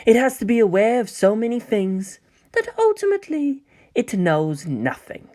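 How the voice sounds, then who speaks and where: Story villain played by a man: young male angel hybrid, coy, smug, softly theatrical, very lively melody. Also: very high voice, moderate pace, voice pushed up. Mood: smug lecturing. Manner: coy, gentle, musing, theatrical.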